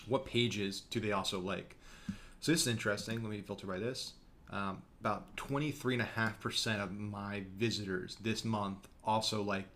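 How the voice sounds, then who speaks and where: A young man teaching an online course over a screen share, talking quickly and casually with frequent fillers. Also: fairly low pitch, a bit bright, slight background noise. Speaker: course instructor. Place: small room, indoors.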